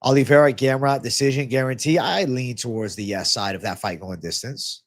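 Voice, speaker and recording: A man with a mid-pitched voice that sounds a bit clogged from a stuffy nose hosts a casual livestream. He talks in a loose, slangy way, drops the odd curse and calls his viewers bro as he answers their comments. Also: a little fast. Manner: laid-back, slangy, swears freely, calls viewers bro. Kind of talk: casual livestream Q&A on fight betting.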